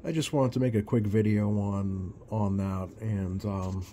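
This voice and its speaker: A man giving a casual hands-on repair demonstration at his workbench, talking haltingly and pausing often as he works. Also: fairly low pitch, fairly even intonation, dark tone, a little hiss or hum. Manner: halting, hesitant, pausing often while working. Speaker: radio repair hobbyist presenting a bench video. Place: indoors at a workbench.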